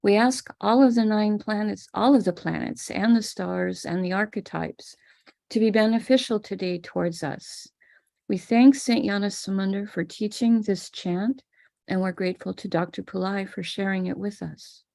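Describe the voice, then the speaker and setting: An American woman teaching a ritual on a live stream, calmly explaining each step. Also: mid-range voice, moderate pace, creaky. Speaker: spiritual teacher leading a ritual. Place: home room with a small altar table.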